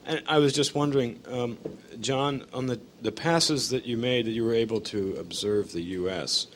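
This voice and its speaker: A man's voice: mid-range voice, moderate pace. A man, a newspaper reporter, hesitantly asking and rephrasing a question at a news conference. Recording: hissy archival 1980s press conference audio.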